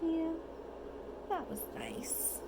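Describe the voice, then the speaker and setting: A woman reading a bedtime storybook aloud in an expressive, child-directed way, on an amateur home recording. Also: extremely slow, whispered. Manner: animated, child-directed, asks the child simple questions.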